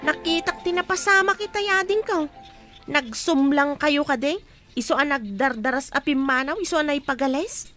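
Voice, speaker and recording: A woman plays a gossipy village woman in a radio play, passing on news in a confiding tone. Her voice is high, with faint music underneath. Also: normal speed, sharp tone. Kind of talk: radio drama acting.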